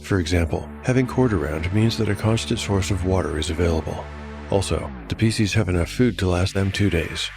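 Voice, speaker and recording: Low-voiced male narrator over music. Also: brisk, creaky. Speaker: narrator and host. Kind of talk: scripted narration.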